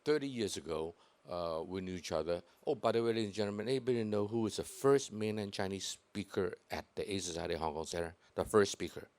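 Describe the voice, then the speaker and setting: Deep-voiced jovial male host. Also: fast, few pauses, darkish tone. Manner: jovial, self-deprecating, hesitant with many uhs. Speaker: host of the event. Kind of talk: casual event chat.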